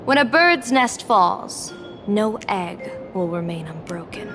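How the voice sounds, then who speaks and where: A woman voicing an enigmatic, composed general and diviner in a video game, speaking in measured, formal, oracular phrasing. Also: mid-range voice, expressive. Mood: confident. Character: enigmatic, composed female general and fortune-teller of fate. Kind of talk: scripted video game voice acting. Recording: music under the voice.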